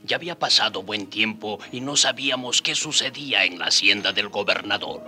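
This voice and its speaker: An actor playing a sober, reflective storyteller who narrates a radio drama in a measured manner. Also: male voice, on the low side, sharp tone, soft background music.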